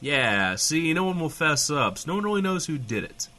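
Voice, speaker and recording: An actor playing a sarcastic, hedging, foul-mouthed small-town radio host in a comedic audio drama, trading banter. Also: male voice, fairly high pitch, sing-song, moderate pace, bright, forceful tone. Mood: deadpan. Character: irreverent, flustered small-town radio host, adult man.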